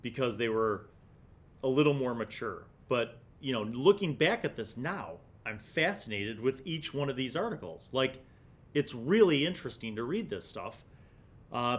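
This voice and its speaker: Reflective middle-aged man explaining in halting phrases. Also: mid-range voice. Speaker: host of a tabletop gaming retrospective series. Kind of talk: casual commentary on old gaming magazines. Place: small indoor studio room. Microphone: large desktop studio microphone.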